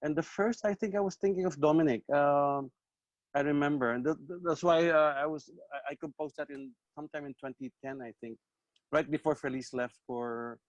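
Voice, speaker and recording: Man, composer hosting an online chat, halting and reflective, repeats himself, dry close sound, video call. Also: on the high side, dry recording, muffled recording. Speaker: composer and host.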